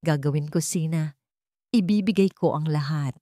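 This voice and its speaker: A guilt-ridden grown man pleading to make amends, read by a woman narrator in her own lowish voice. His words come heartfelt and cracked with regret, in a dry, close storybook reading with no room sound. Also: normal speed, warm tone, dry recording, natural voice. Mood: remorseful. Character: remorseful estranged father, grown man.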